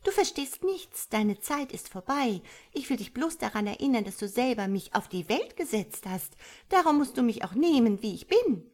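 A woman's voice: a little high, very animated, normal speed, few pauses, a little mellow, pitched above the performer's own voice. A woman voicing a smug, carefree young female tadpole in a storybook, pert and dismissive. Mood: flippant.

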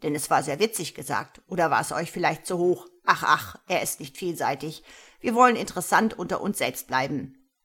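A woman's voice: deep voice, lively intonation, brisk, a bit bright, husky, heavily acted. A woman doing a pompous, puffed-up turkey cock. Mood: mocking. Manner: haughty, mocking.

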